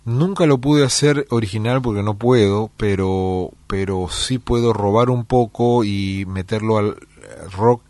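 Very deep-voiced man telling his story. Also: unhurried, few pauses, no room sound. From Peru. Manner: relaxed storytelling with many fillers and restarts. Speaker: musician guest. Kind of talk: conversational interview answers.

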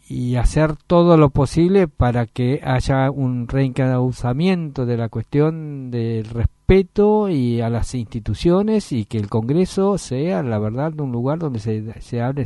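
A man from Tucumán with an Argentine accent, co-hosting a talk show and speaking casually about politics. He talks haltingly, repeating words and using many fillers. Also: mid-range voice, dark tone, no room sound. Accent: Argentine Spanish. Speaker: co-host, local politician.

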